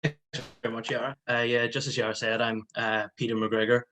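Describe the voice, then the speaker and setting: A young man, giving a spoken report at an online meeting over a home computer mic on a video call, halting and full of repeated words and fillers. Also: mid-range voice, level tone, fairly bright tone. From Northern Ireland. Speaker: guest speaker.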